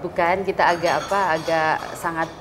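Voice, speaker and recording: Female guest, hoarse, lowish pitch, hesitant phrasing, distinctly noisy recording. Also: flat delivery, fairly quick. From Gorontalo, Indonesia. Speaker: interview guest from a university. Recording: clearly noisy background.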